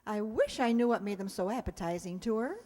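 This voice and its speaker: An actress playing a wry, wisecracking single schoolteacher in an old-time radio comedy, with a dry, sardonic delivery. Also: female voice, mid-range voice, animated, brisk, noisy background, lightly acted. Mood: wistful, musing. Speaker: lead actor and narrator. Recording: echoey hall, long reverb.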